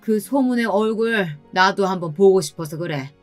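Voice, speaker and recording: A woman's voice: medium pitch, lively intonation, normal speed, faint music behind, natural voice. A woman voicing a condescending, overly familiar middle-aged male company boss in a radio-style story. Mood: smug, presumptuous. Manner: smug, presumptuous, talking down.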